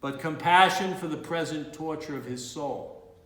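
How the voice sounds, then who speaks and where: A man acting a grave, resolute judge in a theatrical courtroom monologue, with measured, emphatic oratory. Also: on the high side, fairly bright tone, close to an everyday voice. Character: grave, morally resolute male judge delivering a verdict. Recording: roomy, echoey indoor room.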